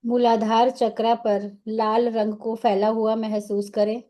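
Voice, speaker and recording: A woman with an Indian accent guides a meditation in a fairly high, mellow voice. She speaks very slowly and soothingly, giving short instructions and leaving pauses between phrases. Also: level tone.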